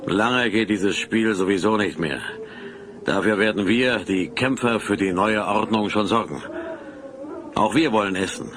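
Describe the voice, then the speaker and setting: Man acting a self-righteous rebel robber, lowish pitch, menacing, declamatory, audio drama, noisy cassette sound. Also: a little slow, natural voice. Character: stern, self-righteous male rebel highwayman.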